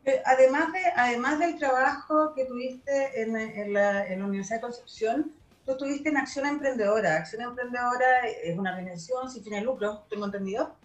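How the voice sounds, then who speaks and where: A woman hosting an interview, her voice slightly higher than most. She introduces her guest and asks questions in a chatty, easygoing way. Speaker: host.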